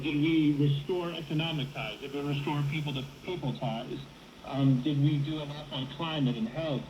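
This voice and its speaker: A man giving measured political analysis as a panel guest, laying out his points in order, heard over shortwave radio. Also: a little high, darkish tone. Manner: structured, enumerating points. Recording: roomy call over noisy shortwave radio.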